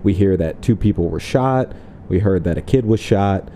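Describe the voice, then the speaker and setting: Mellow-voiced man chatting about a movie. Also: low voice, animated, normal speed, a little hiss or hum. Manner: jokey, acts out characters in mock voices. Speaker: podcast co-host. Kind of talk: casual film discussion.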